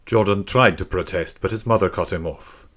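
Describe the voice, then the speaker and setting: A man's voice: low voice, moderate pace, a bit bright. A man reading a scripted children's story as an audiobook narrator.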